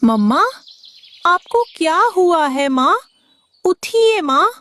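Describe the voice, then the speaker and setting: High childlike voice, slow delivery, young boy bird played by a woman, earnest, dutiful, cartoon. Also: sing-song, fairly bright tone, exaggerated voice. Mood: distressed.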